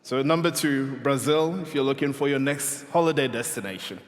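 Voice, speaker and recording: A man moderating a conference panel, briskly summing up answers and handing the word to the next speaker. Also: medium pitch. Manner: brisk, sums up answers and hands over to panelists. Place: conference stage in a large hall. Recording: long hall reverb from a conference stage.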